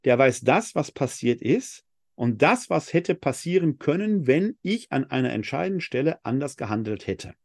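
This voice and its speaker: Guy from essen doing a bible lecture, reads the verses out slow and heavy, voice goes up and down a lot, dry close sound. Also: medium pitch, very animated, no echo. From Germany (Essen). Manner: reads scripture passages slowly and with emphasis, then explains in a reflective tone. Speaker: Bible teacher. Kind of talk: explanatory Bible lecture with scripture readings.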